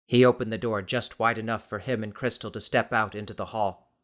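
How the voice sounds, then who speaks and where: A man reading dramatic scripted audiobook narration in a measured, suspenseful manner. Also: lowish voice, steady tone.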